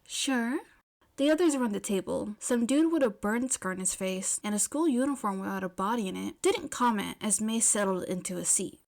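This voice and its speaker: A woman's voice: medium pitch, expressive, a little fast, creaky, hardly any pauses, a little mellow. A woman reading scripted fiction aloud as a story narrator.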